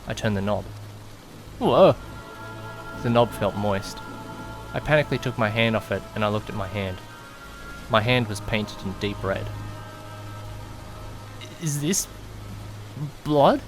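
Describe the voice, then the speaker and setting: A man's voice: on the low side, expressive, a little slow, pauses often. A man playing a nervous, well-meaning young man who narrates his own story in an audio drama, earnest and anxious. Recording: loud music behind the voice. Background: background music.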